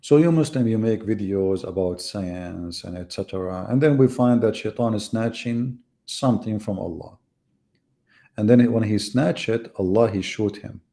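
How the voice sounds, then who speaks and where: Gravelly, mocking man on a live debate. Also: fairly low pitch, normal speed, warm tone. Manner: mocking, sarcastic rhetorical questions. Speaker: debate host. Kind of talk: live online debate monologue.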